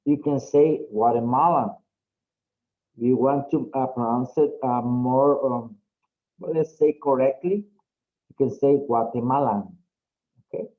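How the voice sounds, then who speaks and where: A man teaching an English class, explaining slowly and often checking in with "okay?". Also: medium pitch. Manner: slow, drawn-out, frequent "okay?" checks. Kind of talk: online class instruction. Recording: dull lo-fi audio.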